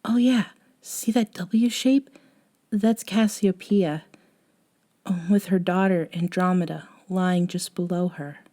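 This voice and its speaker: A woman playing a gentle, caring young woman with a wistful streak in an intimate ASMR roleplay, speaking softly and hesitantly and often dropping toward a whisper. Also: fairly low pitch, steady tone, takes it slowly. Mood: soft, thoughtful.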